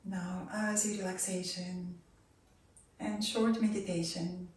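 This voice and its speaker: A woman leading a guided relaxation and meditation, giving soft, calm instructions that sometimes drop to a near whisper. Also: fairly low pitch, slow pace, slight background noise. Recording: roomy echo, voice far from mic.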